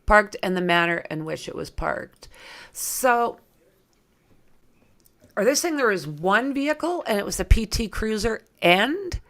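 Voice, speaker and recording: A woman with a creaky voice hosting a true crime livestream, reading aloud and chatting informally with viewers as she thinks aloud. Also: medium pitch, big pitch swings, measured pace, a bit bright. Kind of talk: reading documents aloud with casual asides to viewers.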